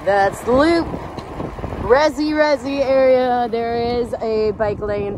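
A woman in her thirties narrating a casual cycling vlog outdoors, upbeat and chatty, recorded on an action camera. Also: medium pitch. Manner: upbeat, chatty, tour-guide commentary. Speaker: vlogger. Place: outdoors on a mountain road, riding a bike. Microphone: action camera on the bike.

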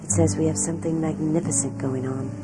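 A woman narrating a documentary from a script in a measured way, often posing questions. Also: low voice, fairly even intonation, music in the background, low-quality recording.

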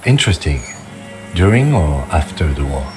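An actor playing a calm police lieutenant in a video game, composed, precise and formal. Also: male voice, extremely low pitch, moderate pace. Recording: loud music behind the voice. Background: music.

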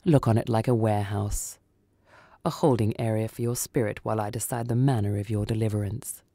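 A man voicing a calm, menacing son of Death in a story, grave, lucid and deadpan. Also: on the low side, fairly quick, vocal fry, no room sound. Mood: cold, patient.

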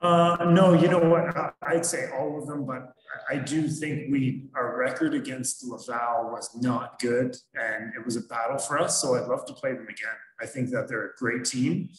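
A middle-aged man, a hockey head coach, answering postgame questions over a video call in a measured, analytical way with frequent fillers. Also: medium pitch, fairly even intonation. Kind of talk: postgame press conference answers. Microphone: video call webcam. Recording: roomy echo.

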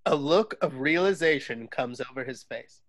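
A man's voice: mid-range voice, lively intonation, moderate pace, a bit bright. A man reading screenplay directions as narrator in an at-home table read over a video call. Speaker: stage direction reader.

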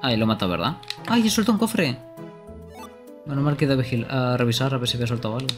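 Young man streaming over loud music. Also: medium pitch, animated, fast talker. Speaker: game streamer. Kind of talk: casual live gameplay commentary. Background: loud game music.

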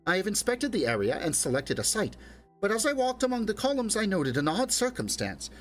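Young bride, polite and trusting, voice lifted high by a man reading. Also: very animated, a little fast, faint music behind. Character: young newly married delicate woman.

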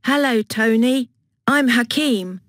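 A friendly young schoolboy. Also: female voice, on the high side, dry recording, studio quality. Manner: slow, clearly spoken greetings. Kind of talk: textbook dialogue recording.

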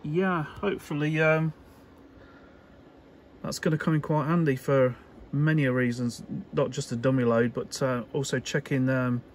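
A man giving a casual, unscripted workbench demonstration in halting, hesitant phrases, as an electronics hobbyist would. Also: medium pitch, fairly even intonation. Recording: clear background noise.

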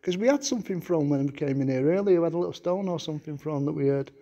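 A man's voice: on the high side, quick pace, dark tone, muffled recording. A man with a Manchester accent, a ghost hunter politely calling out to a spirit in a stone castle room at night. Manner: polite, coaxing, repeats requests with please. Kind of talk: paranormal investigation calling out to spirits.

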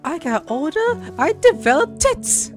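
A man's voice: very high voice, sing-song, a little slow. A man doing casual game reaction commentary as a streamer, crude, jokey and exclamatory. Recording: game music behind.

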